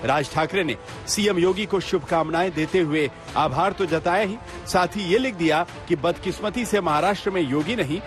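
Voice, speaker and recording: A man's voice: high voice, normal speed. A man reading a scripted TV news report as a voice-over. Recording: voice-over with music bed. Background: background music.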